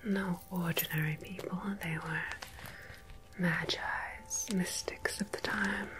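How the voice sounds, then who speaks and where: A woman playing a mysterious, gentle tarot medium in an ASMR roleplay, speaking in a soft breathy whisper with deliberate pauses. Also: lowish voice, fairly even intonation, rough recording. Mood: mystical, solemn. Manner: slow, hushed, deliberate, with long pauses. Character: mysterious gentle female tarot medium between two worlds.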